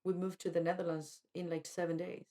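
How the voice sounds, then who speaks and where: A woman from Colombia co-hosting a podcast, telling a personal story in an animated, chatty way with lots of "like". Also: on the low side, a little fast. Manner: animated, quotes herself, fillers like "like". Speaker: podcast co-host. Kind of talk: casual conversational storytelling.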